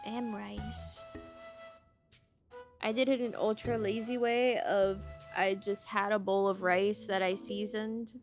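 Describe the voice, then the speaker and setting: A woman streamer chatting casually while playing a game. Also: mid-range voice. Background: music.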